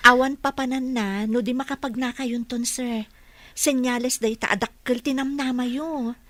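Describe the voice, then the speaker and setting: A woman's voice: fairly high pitch, fairly even intonation, normal speed, pushed and bright, no echo. A woman playing an attentive, encouraging housekeeper and carer in a radio drama, with an Ilocano Filipino accent. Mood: respectful, encouraging.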